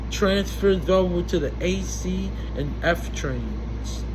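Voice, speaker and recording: A man reciting subway announcements in a formulaic way, imitating a train announcer and now and then stumbling over words. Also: fairly high pitch. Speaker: amateur transit announcer. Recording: loud steady background hum and noise.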